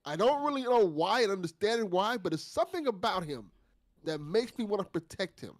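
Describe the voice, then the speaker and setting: Male co-host, slightly high register, very dry sound, thoughtful and conversational as he shares his take. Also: big pitch swings, brisk, no room sound. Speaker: after-show co-host. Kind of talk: casual discussion and commentary.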